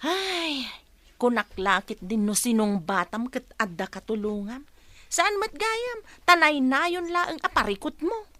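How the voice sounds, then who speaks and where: High-voiced, concerned woman friend. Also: very animated, unhurried, sharp tone, no room sound, full character voice. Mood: consoling. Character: concerned, supportive woman friend, consoling and helpful. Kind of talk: radio drama acting.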